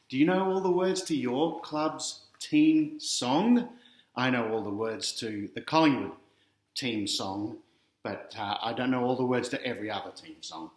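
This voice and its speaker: A man's voice: medium pitch, roomy sound. An Australian man reading a children's picture book aloud, adding chatty asides and talking directly to young listeners.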